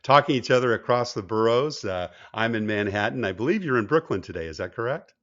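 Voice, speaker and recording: A man's voice: medium pitch, animated, fast, fairly bright tone, dull audio. A middle-aged American man hosting an interview podcast, moving between prepared introductions and conversation. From United States (California). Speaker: podcast host.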